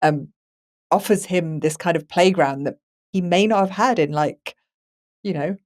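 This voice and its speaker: A woman chatting casually and in an animated way as a podcast host, repeating phrases for emphasis. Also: lowish voice, a little slow. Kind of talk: casual chat about books.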